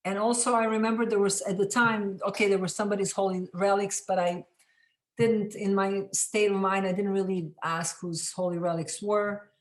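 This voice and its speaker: A middle-aged woman from Serbia with a Serbian accent, telling her story as an interview guest, halting often and restarting her sentences. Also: medium pitch, steady tone. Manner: halting, many restarts and fillers. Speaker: film director, interview guest. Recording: echoey room.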